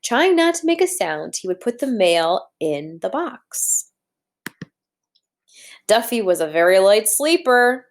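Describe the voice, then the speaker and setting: Female teacher for children's read-aloud, medium-pitched, instructive, speaks straight to young listeners and prompts them with questions. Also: sing-song, slow pace. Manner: instructive, addressing children directly, posing questions. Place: indoors at a computer.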